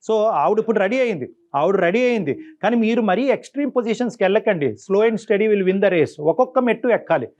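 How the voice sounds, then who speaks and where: Fast, high-pitched man counselling. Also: expressive, a little mellow, dull audio. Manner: emphatic, repeats words for stress. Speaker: family counsellor. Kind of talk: advice on a counselling talk show.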